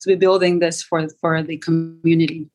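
A woman's voice: deep voice, little pitch movement, moderate pace. A woman raised in Nigeria with Yoruba as her first language, a startup founder answering interview questions in a loose conversational way. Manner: conversational, many fillers and restarts.

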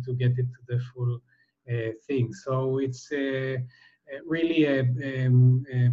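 Man, middle-aged, husky mellow voice, hesitant guest with lots of ums, muffled recording. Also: medium pitch. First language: Hebrew. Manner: halting, many fillers and restarts. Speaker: guest, translator. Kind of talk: interview answer. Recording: dull, muffled sound.

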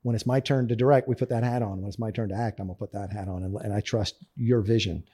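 A man with a fairly low, mellow voice talks as an interview guest. He is eager and chatty, restarting sentences and laughing easily as he explains his thoughts. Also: fairly even intonation, quick pace, slightly rough. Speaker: guest actor. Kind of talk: casual conversational interview.

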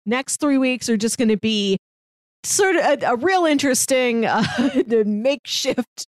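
High-pitched, animated woman podcast host. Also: unhurried, no echo, clean studio sound. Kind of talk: casual chat.

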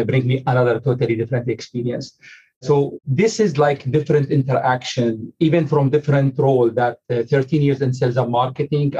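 Guy with an arabic accent being interviewed, kinda husky and mellow, earnest, bit muffled audio. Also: mid-range voice. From Syria. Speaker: podcast guest, agile coach. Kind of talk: reflective interview talk.

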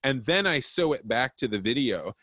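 A man's voice: mid-range voice, very animated, moderate pace, pushed and bright, slightly rough, dry recording. A man hosting a podcast, talking casually and explaining things as if giving practical advice. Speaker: podcast host.

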